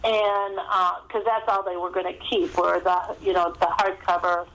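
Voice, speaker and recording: A woman's voice: fairly low pitch, level tone, normal speed, a bit bright, slight background noise. Woman author on a phone line. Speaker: guest author. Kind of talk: conversational interview answers. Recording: thin phone-line sound.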